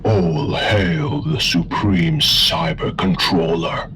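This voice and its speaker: A man's voice: deep voice, expressive, slow, background noise. A man playing an obedient, boastful Cyberman general in a sci-fi audio drama, reporting stiffly through a robotic effect. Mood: stiff, confident. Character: obedient, boastful Cyberman war general, emotionless machine soldier.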